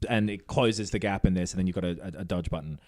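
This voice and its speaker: A man's voice: low-pitched, quick pace, darkish tone, no room sound, studio quality. A man hosting a video game podcast, chatting casually and colloquially, acting out little quoted asides as he goes. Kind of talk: casual chat.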